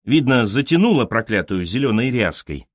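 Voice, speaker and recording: A man narrates literary fiction in the first person. His delivery is measured and expressive, with intonation that moves widely up and down at a medium pitch. The close microphone gives a very dry sound with no room echo. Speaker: audiobook narrator.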